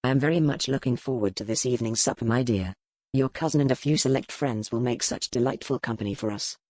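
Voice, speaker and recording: A man's voice: mid-range voice, fast, a little mellow, dry recording, close to an everyday voice. Man playing an arrogant, menacing aristocratic rake. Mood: oily insinuation. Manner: pompous, formal, insinuating. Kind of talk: historical romance audiobook character dialogue.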